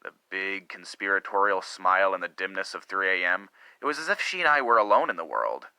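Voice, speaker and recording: A man acts out a middle-aged husband confessing a torrid affair in a melodramatic story reading. His voice is low and strikingly sharp and forceful. He speaks earnestly and theatrically, leaning on dramatic pauses and swells. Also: expressive, smooth, dry recording, exaggerated voice, rough recording. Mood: brooding, guilty.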